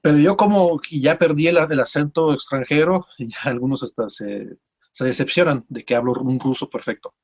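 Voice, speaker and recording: A man from Mexico with a Mexican accent, telling stories casually as an interview guest in a rambling, halting way with repeated words. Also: on the high side, husky, highs cut off. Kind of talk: casual conversational storytelling.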